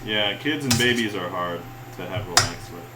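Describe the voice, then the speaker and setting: A man hosting a cooking livestream, rambling and chuckling often as he greets viewers, with a relaxed-sounding voice. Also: low-pitched, moderate pace, heavy background noise. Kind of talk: casual livestream chat while cooking. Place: home kitchen. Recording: echoey room with loud cookware clatter. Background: clinking cutlery, pots and pans.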